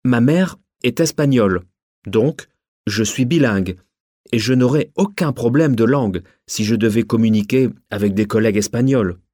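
A man presenting a language listening exam, introducing speakers and reading passages slowly and deliberately. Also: mid-range voice, mellow, no echo. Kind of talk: scripted exam narration.

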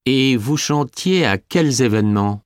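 An actor voicing a male interviewer asking a singer questions, speaking slowly and deliberately in a scripted exam dialogue. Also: mid-range voice, lively intonation, no echo, studio quality, natural voice. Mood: polite.

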